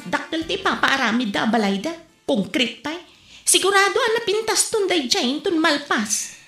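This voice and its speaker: Bright-voiced village housewife, played by a woman, Filipino accent. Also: high voice, lively intonation, normal speed, faint music behind. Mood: chatty. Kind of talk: Ilocano comedy drama dialogue. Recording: echoey room recording.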